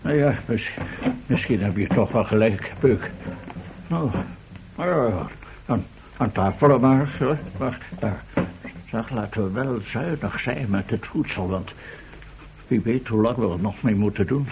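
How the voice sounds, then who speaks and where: An excitable, fretful professor, swooping voice. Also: male voice, on the low side, measured pace. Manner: stammering, repeating words when flustered. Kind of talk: theatrical radio drama acting. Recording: noisy, crackly old radio play recording.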